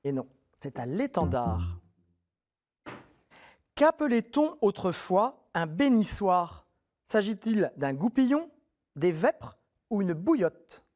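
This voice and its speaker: Lively, encouraging quiz show host, man. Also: sits high, slow pace, long pauses. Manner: friendly, encouraging, clear question reading. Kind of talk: TV quiz hosting, reading questions.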